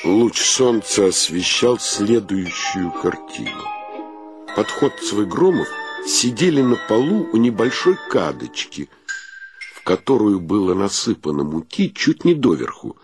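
A man's voice: fairly low pitch, big pitch swings, unhurried, music in the background. A male actor playing an easygoing, wry bachelor who also narrates a radio play in a leisurely, theatrical way. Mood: wry narration.

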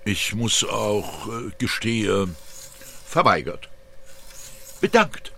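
An actor playing a self-absorbed, erratic artist in a radio play, speaking in halting fragments and abrupt outbursts. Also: male voice, lowish voice, very animated, creaky, background noise, full character voice. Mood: stammering, scattered.

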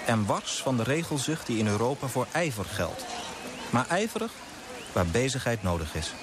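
An actor playing an earnest young clerk and writer who narrates in a literary radio drama. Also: male voice, medium pitch, sing-song, normal speed, background noise, close to an everyday voice. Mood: measured storytelling.

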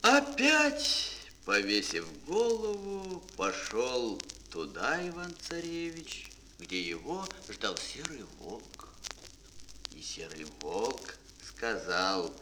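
Мужчина театрально и декламационно рассказывает детскую сказку как рассказчик аудиоспектакля. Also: male voice, mid-range voice, very animated, takes it slowly, pushed and bright, rough recording. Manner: theatrical, declamatory. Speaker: narrator. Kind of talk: dramatic fairy-tale narration for children. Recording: old mono record, faint noise.

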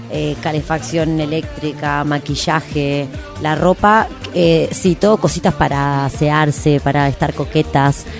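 A low-pitched Argentine woman hosts a casual radio show. She reads out notices informally, pausing to find her words, over clearly audible music. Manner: informal, hesitant list-making. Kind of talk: casual live radio announcements.

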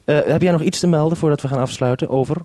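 A man's voice: on the high side, very fast, warm tone. A man talking over a two-way radio link as a programme maker, hesitant and considerate, handing over as he goes. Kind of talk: two-way radio conversation with handovers.

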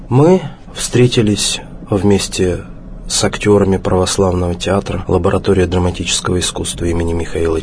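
A man presenting a solemn radio program as host, in a measured, formal way with deliberate phrasing. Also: lowish voice, darkish tone, a little hiss or hum. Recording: music behind the voice. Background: background music.

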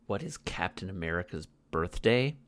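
A man's voice: low voice, lively intonation, normal speed, no room sound. A young man co-hosting a casual movie podcast, dry and matter-of-fact as he recaps a plot. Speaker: podcast co-host.

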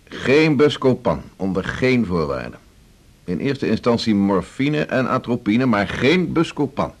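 An actor in a 1980s Dutch radio drama playing a blunt, teasing middle-aged senior doctor, brusque and bossy. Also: male voice, mid-range voice, big pitch swings, unhurried. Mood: teasing, testing.

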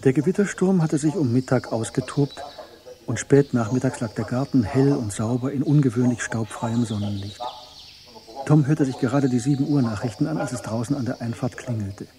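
A man narrating a scripted radio drama in measured literary prose. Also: mid-range voice, a crowd in the background.

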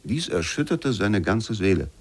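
Male narrator for literary radio drama, lower register, measured and formal delivery. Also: big pitch swings. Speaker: narrator reading literary prose aloud.

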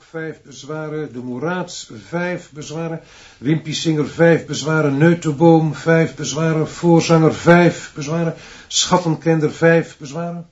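A bossy merciless school director in a radio play, clipped decrees, dullish sound. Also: male voice, a little high, a little mellow, lo-fi sound. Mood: cold, clipped. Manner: clipped, authoritarian pronouncements. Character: stern, dictatorial male headmaster, unbending and merciless. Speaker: school director addressing his teaching staff. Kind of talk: radio drama acting.

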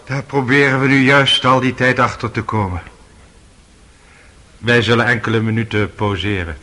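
An actor playing a stern presiding judge in a radio drama, speaking formally and with authority. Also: male voice, mid-range voice, steady tone, moderate pace, pushed and bright, lightly acted.

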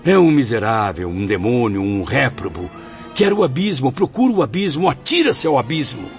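A man's voice: mid-range voice, sing-song, normal speed. An actor playing a bitter, proud, anguished man in a Brazilian radio drama, declaiming his self-pity rhetorically. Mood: despairing. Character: tormented male suicide, bitter, proud, anguished, self-pitying. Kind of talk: melodramatic radio drama acting. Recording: muffled radio drama with faint music.

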